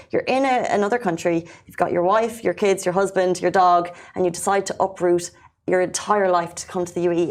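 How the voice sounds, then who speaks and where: A woman hosting a casual panel discussion, asking long, winding questions. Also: on the low side. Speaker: show host.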